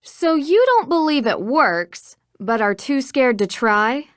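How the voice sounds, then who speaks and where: A woman's voice: high-pitched, lively intonation, slow, dry recording, clean studio sound. An actress playing a confident, curious young female detective with a friendly, teasing manner in a video game.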